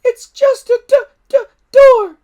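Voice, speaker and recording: A man voicing a small excitable dragon from a storybook, with a shrill, stuttering, childlike delivery. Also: takes it slowly. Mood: loud disappointment. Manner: shouts in broken, stammered phrases.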